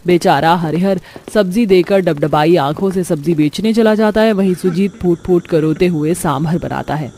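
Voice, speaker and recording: A woman's voice: lowish voice, moderate pace, few pauses, warm tone, slight background noise. A woman giving scripted narration for a cartoon family story.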